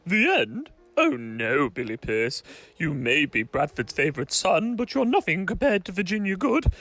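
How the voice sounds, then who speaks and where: A woman's voice: on the low side, sing-song, normal speed, a bit bright, no echo, faint music behind. A woman playing a vain, grandly theatrical actress in a radio comedy drama, declaiming with melodramatic indignation. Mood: grand, scornful.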